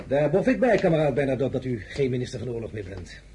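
Guy playing a cocky french general in a radio play, super animated, breezy but bossy, slight noise behind. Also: medium pitch, fast talker, close to an everyday voice. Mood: needling. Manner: jovial, imperious, needling.

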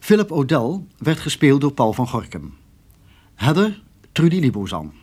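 A man's voice: mid-range voice, big pitch swings, normal speed, a little mellow, breathy edge. A man reading scripted credits as the announcer of a radio drama.

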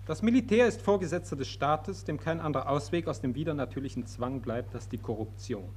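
An actor playing a biting, sardonic pessimist with pointed, caustic delivery in a 1940s radio play. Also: male voice, on the high side, expressive, brisk, darkish tone, lightly acted. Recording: old radio recording, faint hiss.

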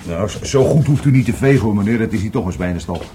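Male actor as drunken, bitter divorcee in radio play: stammering, repetitive, very animated delivery, slightly noisy recording. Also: lowish voice, quick pace, lightly acted. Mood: tipsy, gruff. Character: drunken, rambling, bitter divorced former business partner. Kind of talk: Dutch radio play acting.

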